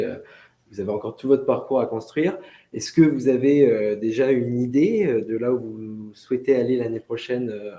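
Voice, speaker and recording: A man hosts a live interview in a warm, mellow voice, winding through long questions padded with hesitations. His voice sits at a middling pitch. The room adds a clear echo. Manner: many fillers, rephrases questions. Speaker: interviewer, host. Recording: echoey room.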